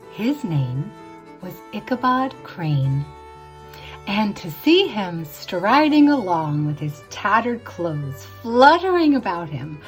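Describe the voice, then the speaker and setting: A woman telling a spooky old tale as scripted narration, theatrical and deliberate, drawing out words for drama. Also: on the low side. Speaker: storyteller, narrator. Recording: music behind the voice. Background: instrumental music.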